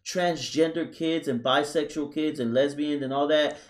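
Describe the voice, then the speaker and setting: A man's voice: fairly high pitch, steady tone, moderate pace. Emphatic man co-hosting a podcast. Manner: emphatic, frequent interjections of agreement. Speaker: podcast co-host. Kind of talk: casual opinionated chat.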